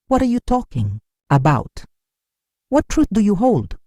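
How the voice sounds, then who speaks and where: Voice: medium pitch, wildly swooping pitch, measured pace, warm tone, dry recording, clean studio sound, pitched above the performer's own voice. A narrator playing an earnest, shaken young village hunter in a folktale, asking urgent questions in a pleading way. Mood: bewildered. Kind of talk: dramatic folktale storytelling.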